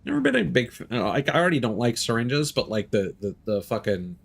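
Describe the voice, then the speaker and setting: A man's voice: medium pitch, wildly swooping pitch, brisk, gravelly. A man giving casual, rambling live commentary as a game streamer, informal and prone to swearing. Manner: informal, rambling, swearing, muttered reactions to what is on screen.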